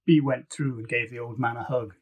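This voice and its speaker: Male author, audiobook narration, scripted storytelling, mid-pitched, husky. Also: fairly even intonation, brisk. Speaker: author reading own novel.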